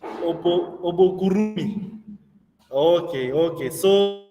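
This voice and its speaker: A man's voice: high voice, slow, big-room sound, distant mic, music in the background. A man moderating an online youth training session, talking conversationally with plenty of fillers. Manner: conversational, many fillers, laughs easily.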